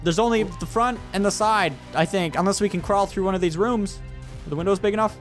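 A man hosting a gaming video, chatting excitedly with friends and urgently directing them. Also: high voice, brisk, soft background music. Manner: animated, urgent, directing the others. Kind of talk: excited casual gameplay banter with friends.

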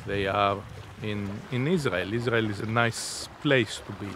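A man in his fifties answering interview questions in a halting, deliberate, unscripted way. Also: lowish voice. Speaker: interviewee, innovation zone representative. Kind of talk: spoken interview, unscripted explanation. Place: outdoors on a sea beach. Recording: outdoor seaside background noise.

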